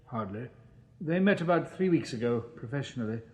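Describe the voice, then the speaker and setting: An actor playing a pompous, snobbish Victorian police inspector in a radio drama, with an upper-class English accent and formal, condescending delivery. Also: male voice, medium pitch, lively intonation, a little fast, natural voice. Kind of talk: 1980s British radio drama acting.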